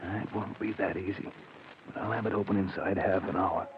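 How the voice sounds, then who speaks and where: A man's voice: low voice, fairly even intonation, fairly quick, natural voice. An actor playing an anxious, guilt-ridden man in a 1940s radio crime drama, hesitant and pleading. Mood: tense, hushed. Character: anxious, guilt-ridden married man drawn reluctantly into burglary. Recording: muffled, noisy old radio recording.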